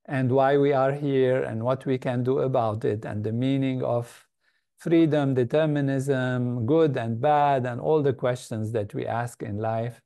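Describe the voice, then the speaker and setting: An older man from Lebanon, a guest in an interview, giving measured, thoughtful answers with frequent pauses and fillers. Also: medium pitch, fairly even intonation, creaky, dark tone. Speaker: guest, meditation organization leader.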